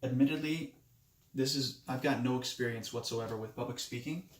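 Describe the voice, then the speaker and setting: Hesitant young man in an echoey room. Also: mid-range voice. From Moldova. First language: Romanian. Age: 20s. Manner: hesitant, halting, many restarts. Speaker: student. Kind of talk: self-introduction speech.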